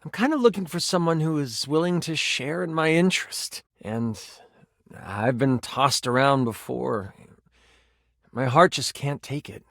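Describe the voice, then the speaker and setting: A man's voice: mid-range voice, a little slow, gravelly, fairly bright tone, dry recording, studio quality. An actor voicing a personified gaming die as a nerdy, earnest, awkward man who stammers and gets theatrical, in video game style. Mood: guarded, regretful. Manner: hesitant, stammering, effusive, theatrical gamer talk.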